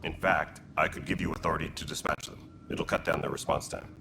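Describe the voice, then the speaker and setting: An actor playing a gruff, dutiful alien militia leader in a video game, speaking with blunt military terseness in a gravelly voice. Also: male voice, steady tone, brisk, noisy background. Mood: businesslike. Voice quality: gravelly, very deep.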